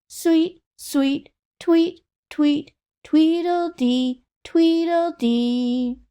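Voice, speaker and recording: A woman's voice: high voice, fairly even intonation, drawn-out words, mellow, voice pushed up. A woman voicing a sweet little candy bird in lilting, sing-song storybook verse. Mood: sweet, inviting.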